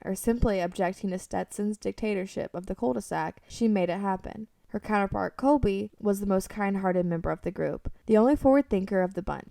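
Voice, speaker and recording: A woman's voice: mid-range voice, gravelly, dark tone, dry recording, distant mic. A woman reading scripted story narration for a radio drama.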